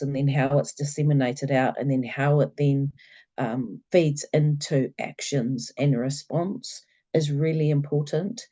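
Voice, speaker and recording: A New Zealand woman presents at a conference over a video call, slowly and with a dark, mellow tone. Her voice is croaky, and she hesitates often, filling pauses and restarting her sentences. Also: rumbling low. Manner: hesitant, many fillers and restarts. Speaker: public health conference presenter.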